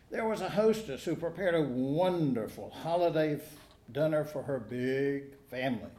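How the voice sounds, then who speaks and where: A man preaching a sermon, reading a gospel passage and then telling stories in a measured, earnest way. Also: medium pitch, mellow. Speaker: pastor. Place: church sanctuary. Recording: echoey church.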